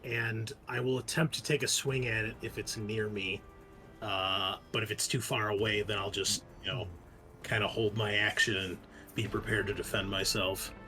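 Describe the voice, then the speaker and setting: A man talks casually through his moves as a tabletop player, with dry humour. His voice is a little on the low side, with soft music in the background. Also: little pitch movement, normal speed. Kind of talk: casual game chat. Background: game music.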